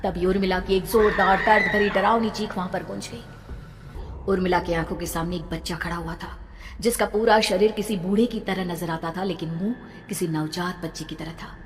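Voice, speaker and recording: A woman's voice: fairly low pitch, fairly even intonation, moderate pace, slight background noise. A woman narrating a scripted horror story in a dramatic storytelling manner. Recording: reverberant, with background music.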